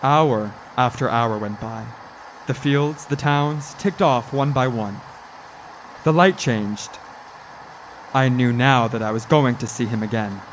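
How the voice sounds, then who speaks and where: An actor playing an anxious motorist who narrates a radio drama earnestly and stammers when flustered. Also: male voice, mid-range voice, takes it slowly, slight background noise, natural voice, low-quality recording. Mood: brooding dread. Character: anxious male motorist haunted by a hitchhiker, narrating.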